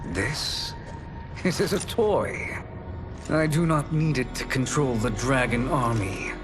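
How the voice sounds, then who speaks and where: Villain sorcerer for a video game: scheming, theatrical, mid-pitched, unhurried delivery. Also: male voice, expressive. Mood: cold, menacing. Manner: smug, theatrical, deliberate. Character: scheming, gloating male sorcerer bent on conquest. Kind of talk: dramatic video game acting. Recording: loud cinematic music behind voice. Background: loud music.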